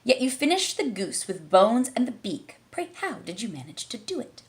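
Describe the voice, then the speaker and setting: A woman's voice: a little high, big pitch swings, normal speed, pitched above the performer's own voice. A woman voicing a questioning young man in a recited comic poem.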